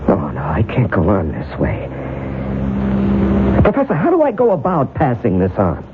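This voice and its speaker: An actor playing a frightened male journalist under a curse, talking anxiously with halting restarts in a 1970s radio drama. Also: lowish voice, huge pitch swings. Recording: tinny hissy old radio broadcast.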